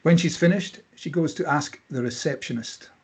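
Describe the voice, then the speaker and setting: A man with a husky voice reading scripted stage directions as narrator at a table read. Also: on the high side, normal speed.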